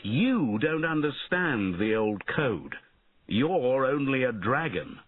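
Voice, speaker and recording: A man's voice: mid-range voice, wildly swooping pitch, slow pace, breathy edge, phone-quality sound, voice pushed up, full character voice. A man voicing a proud, money-minded knight in a storybook, bold and emphatic. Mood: defiant. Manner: emphatic, declaiming. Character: proud, mercenary male knight, bold and disillusioned.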